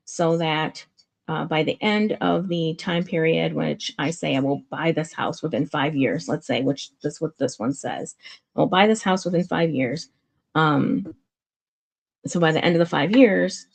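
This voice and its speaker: A woman with a low, gravelly, nasal voice talks through a real estate contract on a live stream. She explains each point step by step in a casual way. Her talk is loose, with many "um"s and restarts. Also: darkish tone. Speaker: commentary streamer. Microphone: streaming setup mic.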